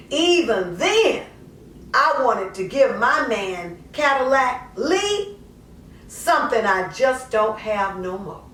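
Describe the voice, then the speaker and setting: A woman performing a dramatic monologue poem as a troubled, self-justifying mother, colloquial, drawn-out and confessional. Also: a little high, fairly bright tone, slight background noise, exaggerated voice. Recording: echoey room, distant mic.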